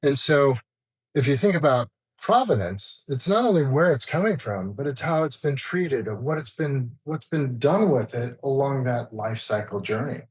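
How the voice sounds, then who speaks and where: A man's voice: mid-range voice, lively intonation, normal speed, a little mellow, slightly rough, dry recording, rough recording. A man speaking as an expert interview guest, explaining informally and listing points in a conversational way.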